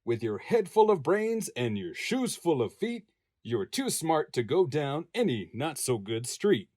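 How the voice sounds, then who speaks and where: A man narrating a rhyming picture book expressively, in a measured, sing-song manner. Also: fairly high pitch, sing-song, a little mellow. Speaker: voice-over narrator.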